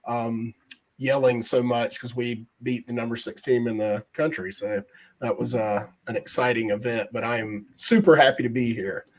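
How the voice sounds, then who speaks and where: A middle-aged man chats as a podcast guest, his voice slightly hoarse. He talks in an easygoing, halting way, pausing on fillers as he thinks. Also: mid-range voice, steady tone, rough recording. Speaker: panel guest. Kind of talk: casual chat.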